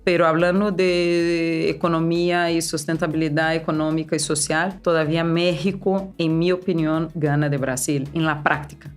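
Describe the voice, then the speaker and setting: A woman from Brazil, a businesswoman guest in a casual interview, talking in an animated, chatty way with frequent restarts. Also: deep voice, moderate pace, few pauses, faint music behind. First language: Portuguese.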